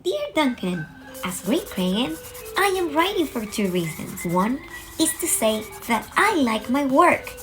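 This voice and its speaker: A woman's voice: high voice, sing-song, measured pace, faint music behind. A woman voicing a happy, diplomatic green crayon in an earnest, polite storybook style. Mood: polite, content. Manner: earnest, formal. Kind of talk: storybook read-aloud.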